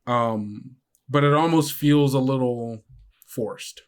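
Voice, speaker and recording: A man narrating a tabletop game as game master, halting and full of restarts. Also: medium pitch. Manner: halting, many fillers and restarts.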